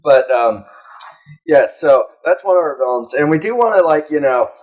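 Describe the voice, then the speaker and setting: A man's voice: fairly high pitch, lively intonation, moderate pace, muffled recording. A man co-hosting a casual comedy podcast, joking crudely and irreverently in loose conversation. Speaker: podcast co-host.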